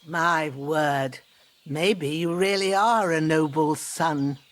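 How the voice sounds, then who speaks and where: A woman's voice: low voice, slow. A woman playing a kindly, grumbling old village herbwoman in a fantasy video game, with folksy rustic speech. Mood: kindly, encouraging. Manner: folksy, rustic, plain-spoken. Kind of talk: dramatic video game acting.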